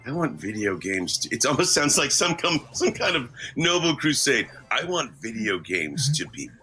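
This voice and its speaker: A man's voice: medium pitch, sing-song, moderate pace, bright, forceful tone, soft background music. A middle-aged man giving reflective interview answers as a guest, earnest and wordy with self-mocking humour. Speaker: guest voice actor.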